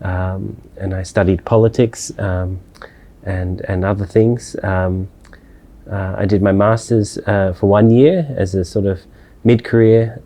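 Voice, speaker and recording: A man, giving thoughtful interview answers with many pauses, fillers and restarts. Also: on the low side, mellow. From Australia. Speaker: interviewed scholar.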